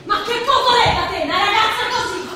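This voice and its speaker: A woman's voice: extremely high pitch, brisk, pushed and bright. An actress playing a weary, wounded and reproachful woman in an Italian stage drama, with an intense, pleading theatrical delivery. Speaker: stage actor. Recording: distant, echoey hall with background noise.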